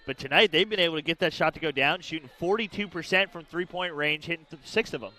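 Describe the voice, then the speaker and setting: A man's voice: a little high, fast, bright, forceful tone. A man giving basketball color commentary, analysing plays in a conversational way with fillers.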